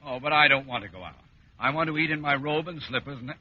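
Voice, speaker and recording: A man's voice: medium pitch, quick pace, lightly acted. An actor playing an irritable, hungry middle-aged father with an American accent in a vintage radio comedy, exasperated and theatrical. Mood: indignant. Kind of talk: 1950s American radio sitcom acting. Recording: muffled, noisy old radio recording.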